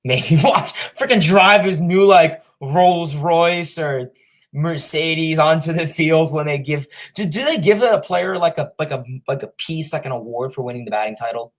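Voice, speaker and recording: A man's voice: on the high side, moderate pace. A young man co-hosting a podcast, talking casually about baseball with many fillers and restarts. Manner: filler-heavy, meandering. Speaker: podcast co-host. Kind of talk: casual sports chat.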